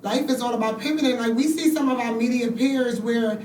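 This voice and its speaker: A high-voiced woman co-hosting a podcast, quick with affirmations and playful quoted asides. She sounds distant in an echoey room with light hiss. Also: darkish tone. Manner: frequent affirmations, playful quoting. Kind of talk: casual chat.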